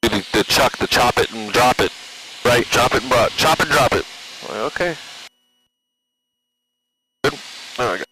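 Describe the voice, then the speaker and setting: A man's voice: mid-range voice, expressive, normal speed, long pauses, sharp tone. A man working the radios as a pilot in a small plane, mixing easygoing, encouraging banter with radio calls over a headset. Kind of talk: casual cockpit chat and radio calls. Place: small plane cockpit in flight. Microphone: aviation headset intercom. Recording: noisy small-plane cockpit audio.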